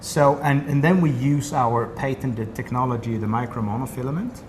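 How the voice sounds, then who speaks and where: A man's voice: medium pitch, normal speed, a little mellow. A man from Sweden with a Swedish accent, a company representative explaining a product in detail during an interview. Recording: noisy room ambience.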